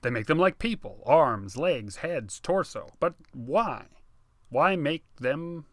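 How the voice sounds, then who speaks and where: A man voicing an animated, insistent man who frets about the future in an audiobook. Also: fairly high pitch, slow pace, no echo, studio quality, full character voice. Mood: exasperated. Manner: insistent, emphatic, exasperated. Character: man obsessed with future, agitated, animated talker.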